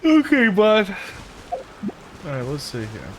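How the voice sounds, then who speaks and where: A man's voice: sits high, wildly swooping pitch, slow, noisy background. A man chatting casually on a live game stream in a chummy, slangy way.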